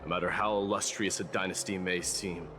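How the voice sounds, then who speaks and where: A man acting a grave, deep-voiced warrior king. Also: fairly even intonation, fairly quick, natural voice. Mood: grave, resolute. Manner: grave, formal, declamatory. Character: proud solemn young warrior king, newly ascended war demigod. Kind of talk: scripted game cutscene drama. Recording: game dialogue under loud music.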